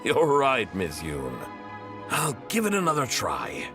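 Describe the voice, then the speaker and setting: A man's voice: medium pitch, takes it slowly, music in the background. A man playing an earnest, self-dramatizing storyteller in a video game, theatrical and easily flustered. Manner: theatrical, expressive, self-deprecating. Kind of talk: video game character acting.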